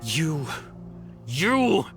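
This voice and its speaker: A man's voice: fairly high pitch, sing-song, drawn-out words, a bit bright, soft background music, heavily acted. An actor playing a boastful male thief who has been caught, indignant and flustered. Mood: grumbling. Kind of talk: video game voice acting.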